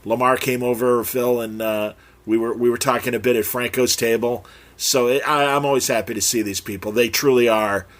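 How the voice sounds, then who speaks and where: A man's voice: mid-range voice, fairly even intonation, normal speed, a bit bright. A man hosting a podcast, introducing guests in a casual, stop-and-start way. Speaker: podcast host.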